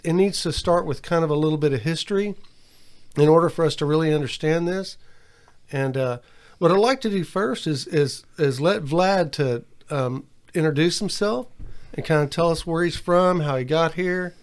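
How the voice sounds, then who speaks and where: A man hosting his own interview show, friendly and conversational as he introduces his guests. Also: a little high. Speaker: podcast host. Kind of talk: casual interview.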